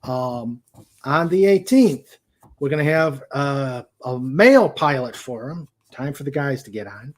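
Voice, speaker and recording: A man hosting a casual livestream, speaking in a halting way as he searches for words. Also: medium pitch, animated. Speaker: host of a live-streamed show.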